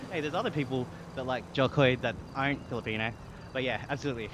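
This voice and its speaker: A man's voice: medium pitch, fast talker. A young Australian man streaming live while riding an exercise bike, casually chatting, reading out viewer comments and often laughing. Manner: upbeat, laughs often, thanks viewers warmly. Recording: game sounds and traffic noise behind.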